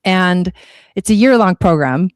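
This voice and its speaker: Female spiritual teacher, interview guest. Slightly low voice, close dry sound, chatty explainer who rephrases as she goes. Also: lively intonation, measured pace, no echo. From born in Argentina, grew up in California. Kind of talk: casual explanatory interview talk.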